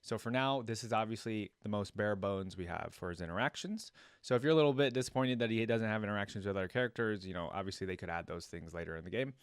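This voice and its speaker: A man reacting casually to game voicelines, talking like a relaxed video host. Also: on the low side, quick pace, clean tone, no room sound, studio quality.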